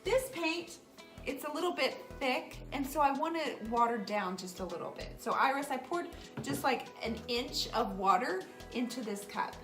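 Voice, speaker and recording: A woman's voice: high-pitched, animated, moderate pace, fairly bright tone, soft background music. A woman with an American accent hosts a children's craft show, explaining steps casually with playful banter. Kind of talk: casual step-by-step craft demonstration.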